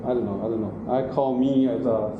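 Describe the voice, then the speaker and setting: Lecturer echoing in a classroom. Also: male voice, medium pitch, fairly even intonation, a little fast, narrow, tinny audio. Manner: broken English, frequent "okay?" and "right?" check-ins. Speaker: university lecturer. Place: large classroom. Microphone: far room camera. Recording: very echoey classroom from far off, noisy.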